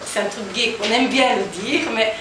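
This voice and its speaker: A woman's voice: a little high, moderate pace. A young woman from central Italy, talking in an interview and thinking aloud as she searches for words. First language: Italian. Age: young adult. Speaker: interviewee, cultural association co-founder. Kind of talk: casual interview talk. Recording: echoey room, distant mic, noisy.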